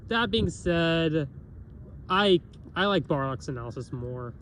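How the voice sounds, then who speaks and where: A man's voice: a little high, animated, measured pace, a bit bright, a little hiss or hum. Nasal man rambling with a cough. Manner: rambling, with many restarts and self-corrections. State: has a cough. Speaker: reviewer. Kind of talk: casual spoken review.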